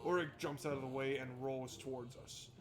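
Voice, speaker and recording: A man's voice: mid-range voice, fairly even intonation, fairly quick, over loud music. A man hosting a visual novel playthrough, reading narration aloud and adding casual, wry asides. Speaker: gaming video host.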